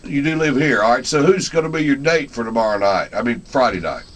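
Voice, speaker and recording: A man hosting a live radio interview in a folksy, halting way, often repeating words. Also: mid-range voice, expressive. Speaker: radio show host.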